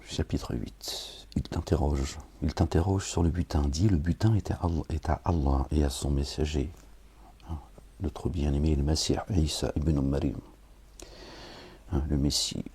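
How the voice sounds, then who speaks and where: A man who talks casually with wry, grumbling asides to his listeners, then reads scripture slowly and solemnly. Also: rumbling low, mellow, husky. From France. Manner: slow, measured recitation with Arabic invocations. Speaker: preacher reading scripture.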